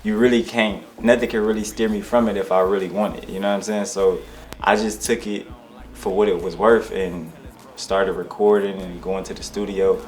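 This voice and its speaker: Deep-voiced young man rambling casually. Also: moderate pace. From Detroit, USA. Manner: relaxed, rambling, many restarts and slang. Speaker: rapper, interview guest. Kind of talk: casual interview.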